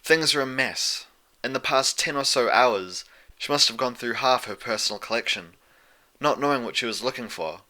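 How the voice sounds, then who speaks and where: A man's voice: medium pitch, normal speed, sharp tone. A man reading scripted fiction as an audiobook narrator.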